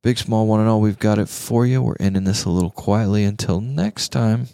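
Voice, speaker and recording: A man's voice: lowish voice, a little fast, gravelly, mellow, a little hiss or hum. A man hosting a casual guitar talk show, chatting in an informal, emphatic, slangy way. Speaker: show host.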